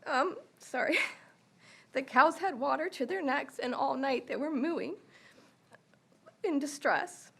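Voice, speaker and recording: Gravelly-voiced woman giving steady testimony. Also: sits high, takes it slowly, pauses often. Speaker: resident giving public comment. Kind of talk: prepared personal testimony. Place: county commission meeting room.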